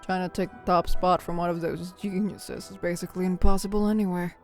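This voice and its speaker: A woman playing a composed, stern academy librarian in a roleplay drama, measured and cautionary. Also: on the low side, little pitch movement, a little mellow, soft background music, close to an everyday voice. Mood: musing. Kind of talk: Minecraft roleplay voice acting.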